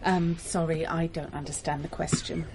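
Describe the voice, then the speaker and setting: A woman's voice: low-pitched, little pitch movement, normal speed, gravelly, noisy background. An actress playing a strained, earnest middle-aged mother in a naturalistic radio drama, conversational, hesitant and defensive. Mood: wounded, defiant. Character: strained, earnest mother of two, quietly defiant.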